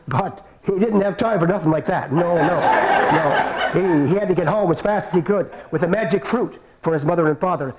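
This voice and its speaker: A man telling a folk tale at a live puppet performance, playful and animated, with chuckling asides. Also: fairly high pitch, a little hiss or hum, low-quality recording. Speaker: storyteller in a puppet show. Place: live performance before an audience.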